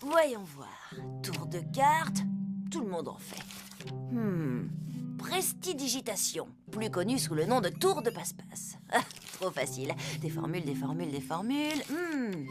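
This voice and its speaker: Cartoon role: overconfident young boy magician, grandiloquent, swooping high voice over music. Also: very animated, a little slow. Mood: musing. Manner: grandiloquent, eager. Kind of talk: animated cartoon dubbing. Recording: music under the voice.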